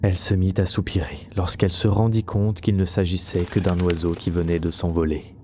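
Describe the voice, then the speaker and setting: A man's voice: deep voice, flat delivery, brisk, warm tone, a little hiss or hum. A man narrating a scripted fantasy audio story as a storyteller. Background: faint music.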